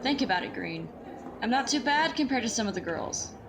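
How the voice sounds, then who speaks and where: A woman's voice: fairly high pitch, lively intonation, a little fast, background noise. A woman playing a sickly, resigned young match factory worker in an audio drama.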